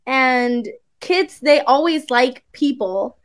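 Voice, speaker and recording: Young woman English tutor, native Spanish speaker, high bright voice, relaxed unhurried pace, chatty and giggly over a video call. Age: young adult. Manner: bubbly, chatty, laughs often. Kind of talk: casual chat on a video call. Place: indoor room.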